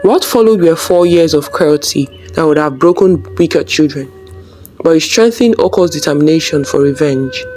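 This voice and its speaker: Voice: mid-range voice, animated, normal speed, darkish tone, faint music behind. A storyteller reading a scripted folktale as its narrator.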